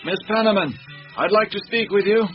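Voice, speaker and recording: An actor playing a courteous, patient investigator in a radio drama, speaking in a polite, measured, gently probing way. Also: male voice, high voice, over music, natural voice. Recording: muffled radio recording with clicks and hiss.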